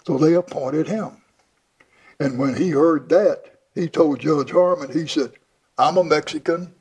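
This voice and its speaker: A man's voice: fairly high pitch, unhurried, a little mellow. An older man telling his life story in an interview, plainly and with many restarts. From Houston, Texas. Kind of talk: oral history interview.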